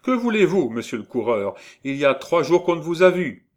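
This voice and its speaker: A coquettish young working girl voiced by a man, pitched far above his normal register. She exclaims in a girlish, tender way, as in a dramatised storybook. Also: huge pitch swings, fairly quick. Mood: scolding. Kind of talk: dramatised audiobook reading.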